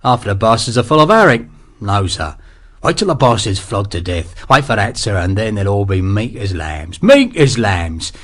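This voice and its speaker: A man voicing a brutal, scheming army sergeant who talks in coarse, rough slang. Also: on the low side, big pitch swings, normal speed, fairly bright tone. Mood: sly.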